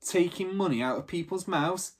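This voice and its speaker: A man's voice: sits high, normal speed, voice pushed up. A man voicing an indignant, opportunistic market trader in a storybook, with blunt, grumbling street slang.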